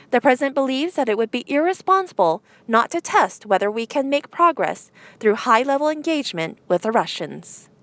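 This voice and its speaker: A woman's voice: on the high side, no echo. A woman presenting news headlines, reading from a script.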